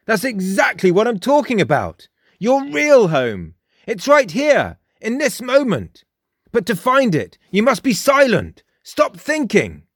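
A man's voice: high-pitched, wildly swooping pitch, measured pace, dry recording, studio quality, voice pushed up, exaggerated voice. A man voicing a smug, theatrical young male mystic in a storybook, declaiming with pompous emphasis. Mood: challenging. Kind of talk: audiobook character dialogue.

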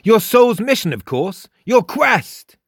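A man's voice: high voice, very animated, normal speed, a bit bright, no echo, studio quality, pitched above the performer's own voice, heavily acted. A man voicing a smug, theatrical young male mystic in a storybook, declaiming with pompous emphasis. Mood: knowing, urgent. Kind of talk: audiobook character dialogue.

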